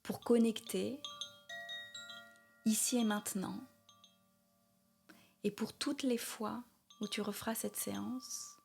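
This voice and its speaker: A woman leading a guided meditation as a yoga nidra guide, gentle and soothing, speaking in short phrases with long pauses. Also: on the high side, fairly even intonation, creaky, soft background music.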